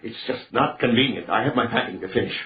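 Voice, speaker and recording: An actor playing an irritable, aggrieved losing gambler, curt and easily flustered, in a radio drama. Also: male voice, mid-range voice, fast talker, natural voice. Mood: aggrieved, distressed. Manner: curt, testy. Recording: dull sound with clear background noise.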